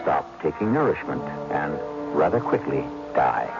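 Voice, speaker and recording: A man narrating as host of a 1970s radio mystery series, grave and theatrical, with measured, ornate phrasing. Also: rumbling low, sing-song, creaky. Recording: dull old radio recording with loud music.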